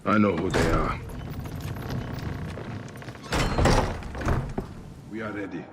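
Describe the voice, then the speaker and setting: An actor playing a determined, guarded warrior in a video game, earnest and terse. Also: male voice, deep voice, fairly even intonation, fast talker, close to an everyday voice. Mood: resolute. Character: determined, guarded male warrior starting a new life. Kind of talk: dramatic video game cutscene acting. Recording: clear background noise and ambience.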